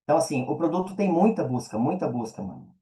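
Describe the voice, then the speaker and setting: A man's voice: fairly high pitch, lively intonation, fast, dark tone. A man with a Brazilian accent in a casual podcast chat, talking in a slangy, conversational way and repeating words for emphasis.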